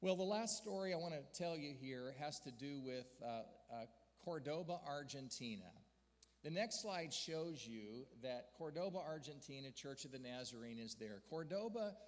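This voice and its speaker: Male church leader, fairly high voice, earnest storytelling sermon delivery, long hall reverb. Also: expressive, normal speed. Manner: earnest storyteller, rising to emphatic peaks. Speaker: denominational church leader speaking to a district gathering. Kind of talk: sermon-style report with slides.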